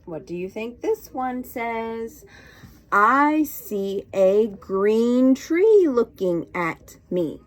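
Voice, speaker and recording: A woman reading picture books aloud to young children in an expressive, sing-song, encouraging way, often putting questions to her listeners. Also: on the high side, extremely slow. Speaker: children's storytime reader and teacher.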